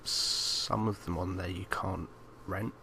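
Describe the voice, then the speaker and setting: A man's voice: low voice, fairly even intonation, slow, creaky, a crowd in the background. A man co-hosting a podcast, chatting casually about films. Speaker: podcast co-host. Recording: noisy amateur podcast recording.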